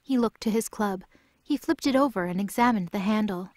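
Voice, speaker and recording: A woman's voice: medium pitch, a little fast, darkish tone, no room sound, studio quality. A woman narrator reading a scripted fantasy story.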